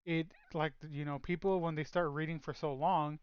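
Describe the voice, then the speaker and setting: A man's voice: on the high side, fairly even intonation, moderate pace, clean tone, no echo, dull audio. A man co-hosting a casual chat show, rambling with lots of fillers and restarts. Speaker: podcast co-host.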